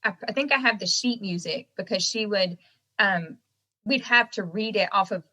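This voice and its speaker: A young adult woman with a Southern American accent, telling stories as a guest in a casual interview, animated and laughing often. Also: mid-range voice, normal speed. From Southern United States. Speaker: guest singer-songwriter.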